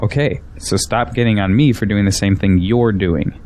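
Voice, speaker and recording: A man's voice: lowish voice, steady tone, moderate pace, a little mellow, a little hiss or hum, lightly acted. A man playing a defensive, ambitious young businessman in an audio drama, arguing skeptically and proudly. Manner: defensive, argumentative.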